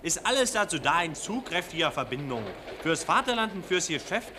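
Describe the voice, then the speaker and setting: A man's voice: fairly high pitch, big pitch swings, a little fast. An actor playing a brash, pompous, patriotic salesman with hearty patter in an old radio play. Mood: boastful. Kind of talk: 1940s radio play acting. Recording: noisy old 1940s radio recording.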